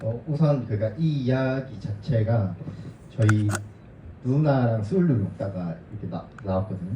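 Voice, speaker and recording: A man, a film director, answering audience questions at a screening, speaking softly and thoughtfully. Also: on the low side, animated, moderate pace, noisy background. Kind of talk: festival Q&A answer. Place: cinema auditorium. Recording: dull, roomy, distant hall sound.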